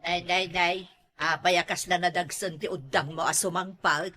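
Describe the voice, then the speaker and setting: A woman's voice: lowish voice, fairly even intonation, moderate pace, fairly bright tone. A middle-aged woman with an Ilocano accent, playing a mother in a comedy drama, scolding and advising.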